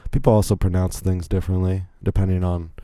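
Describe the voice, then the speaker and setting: A young American man with a low, deeply mellow voice, chatting his way through a solo podcast story. He rambles and cuts himself off with asides. The sound is dry and close, with no room around it. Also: level tone, quick pace, dry recording. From Virginia, United States. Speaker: podcast host.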